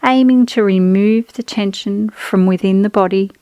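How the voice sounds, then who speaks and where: A woman's voice: medium pitch, warm tone, clean tone, dry recording. Soothing woman guiding relaxation, close and dry. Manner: soothing, measured, instructional, with pauses. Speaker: yoga nidra guide. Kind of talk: guided relaxation meditation.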